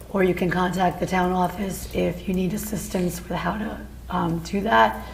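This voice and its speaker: A woman's voice: medium pitch, darkish tone. A woman serving as interim town manager gives brief announcements at a council meeting. Her mid-range voice is worn and fading as she loses it, and faint room noise sits underneath. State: losing her voice.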